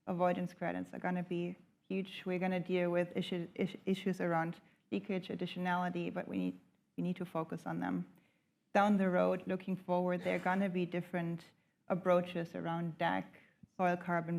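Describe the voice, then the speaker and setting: A woman on a conference panel delivers her closing thoughts in short, emphatic bursts. Her voice sits fairly low, with a gravelly edge and a flat, even pitch. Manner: emphatic, lists points in short phrases. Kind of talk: panel discussion remarks.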